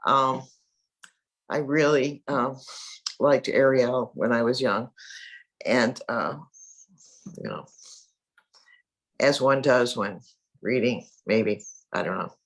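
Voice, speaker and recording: A woman's voice: rumbling low, slow, long pauses, rough recording. An older woman poet chatting about her influences, rambling with many fillers. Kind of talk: panel discussion after a reading.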